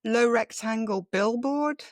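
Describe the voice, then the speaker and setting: A woman hosting an interview, measured and encouraging, with short replies. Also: fairly high pitch, no room sound, clean studio sound. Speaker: podcast host. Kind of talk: conversational interview.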